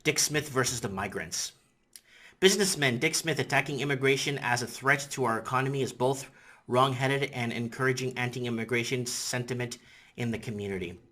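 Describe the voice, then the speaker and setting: A man's voice: medium pitch, a little fast, fairly bright tone. A middle-aged man whose first language is Portuguese, rambling through opinionated casual commentary in a vlog and often repeating himself. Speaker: vlogger.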